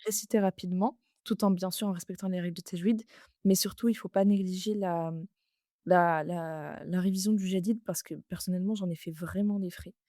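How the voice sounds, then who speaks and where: A woman's voice: fairly low pitch, fairly even intonation, a little fast, warm tone, no room sound, studio quality. A woman hosting a podcast interview, earnest and hesitant with many fillers. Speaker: podcast host. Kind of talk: interview conversation.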